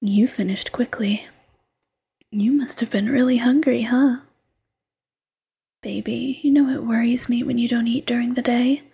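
Woman as a motherly fox girlfriend, whispered roleplay, gravelly fry, higher pitch, coaxing and teasing. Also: steady tone, unhurried, pauses often, exaggerated voice. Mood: doting. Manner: soft, coaxing, teasing baby talk.

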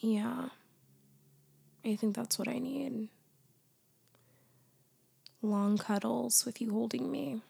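A young woman in a soft, often whispered ASMR girlfriend roleplay, drowsy and close to the mic, her voice worn down as she loses it to a cold. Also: fairly high pitch, steady tone, slow, vocal fry, long pauses, husky. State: losing her voice, congested.

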